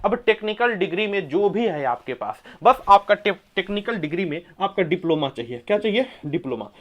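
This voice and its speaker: A man's voice: sits high, lively intonation, a little fast, highs cut off. A man teaching an exam coaching class, emphatically repeating key points and putting quiz-like questions to his listeners. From India. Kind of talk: coaching lecture explaining exam eligibility and syllabus.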